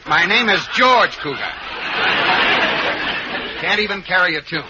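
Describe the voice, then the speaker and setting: A flustered, stammering banker husband. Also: male voice, high-pitched, animated, unhurried, over a noisy crowd. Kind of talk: 1940s radio comedy acting. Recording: dull, noisy old radio broadcast with audience.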